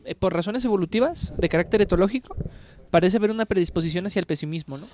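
A man's voice: high voice, fast, darkish tone, a little hiss or hum. A man talks through ideas about books at an easy pace. He thinks aloud, circles back on his phrases and keeps tagging them with questions to his co-host. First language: Spanish. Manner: thinks aloud, tag questions after nearly every phrase. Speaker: book club podcast co-host. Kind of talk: casual literary discussion.

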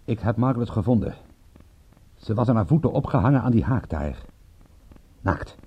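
An actor playing a brooding, blunt, dogged private detective in a radio drama, with a slightly husky voice. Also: male voice, lowish voice, expressive, moderate pace, lo-fi sound. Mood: grave, insistent.

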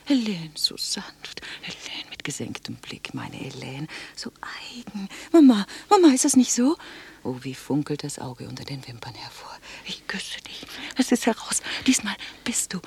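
An actress playing an intense, possessively affectionate sister in a radio drama, effusive and repetitive, moving between husky whispers and cries. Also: female voice, deep voice, very animated, normal speed, heavily acted. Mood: adoring, feverish. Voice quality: breathy, husky, often whispering.

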